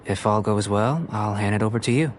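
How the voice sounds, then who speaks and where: A man voicing a calm, detached young alchemist in an anime-style video game, speaking in a measured, precise, professorial way. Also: fairly low pitch, a little hiss or hum, lightly acted. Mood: composed, musing.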